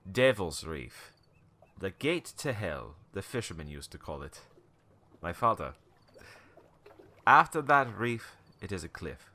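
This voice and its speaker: A slow-talking, swooping old storyteller. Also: male voice, low voice, big pitch swings, bright, forceful tone, slight background noise, full character voice. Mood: ominous. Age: older. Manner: cryptic, theatrical, rambling storytelling with knowing chuckles. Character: wary, cynical old male townsman, former shopkeeper, storyteller. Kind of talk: radio play acting.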